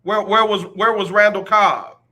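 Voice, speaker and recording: A man's voice: sits high, fairly even intonation, a little slow, sharp tone. A man hosting a casual sports talk radio show, teasing listeners with rhetorical questions. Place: radio studio.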